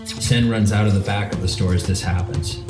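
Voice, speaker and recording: A man's voice: lowish voice, fairly even intonation, normal speed. A man reading scripted narration for an audio drama in a roomy recording with music behind him.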